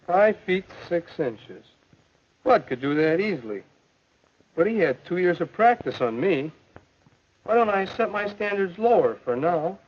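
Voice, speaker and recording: An American actor playing an earnest, self-doubting high school boy athlete in a 1950s educational film, with stagey delivery. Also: very animated, unhurried, husky, full character voice. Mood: earnest, hopeful. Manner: earnest, stagey thinking aloud. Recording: muffled old 1950s film soundtrack.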